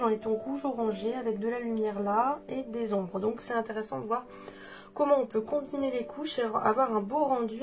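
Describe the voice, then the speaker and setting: A French woman with a cold giving a relaxed coloring tutorial, talking hesitantly with many fillers and restarts. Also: fairly high pitch. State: congested with a cold, coughing. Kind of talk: relaxed step-by-step drawing tutorial. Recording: with guitar music playing behind.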